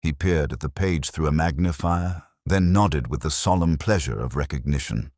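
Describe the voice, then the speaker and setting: A man reading a scripted first-person literary detective story in a measured, melancholy, reflective manner. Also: extremely low pitch, darkish tone, no echo, studio quality.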